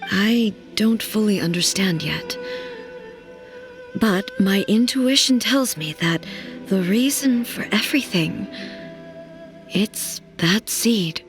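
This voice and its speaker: Slow, solemn immortal queen over music. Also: mid-range voice, very animated. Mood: solemn, reflective. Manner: measured, formal, wistful. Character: solemn immortal female ruler, gentle and reflective, grieving. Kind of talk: video game dramatic dialogue. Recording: music playing behind.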